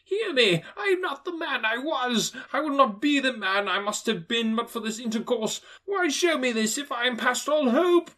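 A man reading aloud puts on an old miser with a voice pushed high and wobbly, exclaiming breathlessly as he laughs and weeps at once. The pitch leaps about wildly from line to line in this storybook telling. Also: expressive, moderate pace, hardly any pauses, pushed and bright, heavily acted. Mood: pleading. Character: old male miser, overjoyed and repentant. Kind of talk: audiobook character reading.

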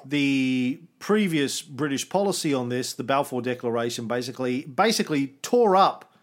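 A man's voice: mid-range voice, lively intonation, normal speed. Profane, rambling podcast host, man. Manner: profane, wanders off on tangents. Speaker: podcast host narrating history. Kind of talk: casual chat.

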